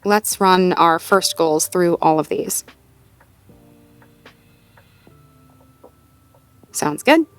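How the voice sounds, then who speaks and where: A woman playing a sweet, supportive girlfriend in an intimate audio roleplay, talking in a gently upbeat, conversational way as she thinks aloud. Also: lowish voice, measured pace. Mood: casual, focused. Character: sweet, supportive girlfriend working through goals with partner.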